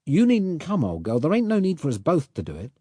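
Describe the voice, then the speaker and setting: Man voicing a gruff Cockney boatman. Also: a little high, wildly swooping pitch, a little fast, mellow, no echo, voice pushed up, full character voice. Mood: earnest. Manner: blunt working-class slang, gruff and earnest. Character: rough Cockney boat mechanic, middle-aged man.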